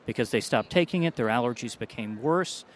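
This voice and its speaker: A male doctor speaking in a measured, explanatory tone, pitched in the middle range for a man. He lays out findings point by point as an interview guest. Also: lively intonation, slight background noise. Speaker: doctor, allergy specialist. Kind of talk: interview answers.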